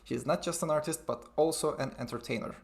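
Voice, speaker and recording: A man's voice: on the high side, quick pace, gravelly. A man hosting an online event over a video call, reading out promotional announcements and introducing a guest. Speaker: webinar host.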